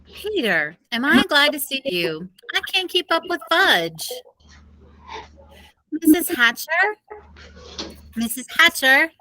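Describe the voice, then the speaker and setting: A woman's voice: high voice, very animated, slow, long pauses, bright, forceful tone, low-quality recording. A woman reading the characters' lines in a children's story in a theatrical, exclamatory way. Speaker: reader of character dialogue. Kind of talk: acted storybook dialogue for children.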